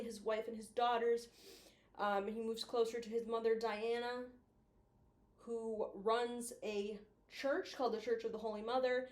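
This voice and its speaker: A woman's voice: on the high side, a little mellow, reverberant. A woman chatting casually as a video host giving commentary, playful and teasing, with the odd mild swear. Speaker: YouTube host reviewing analog horror.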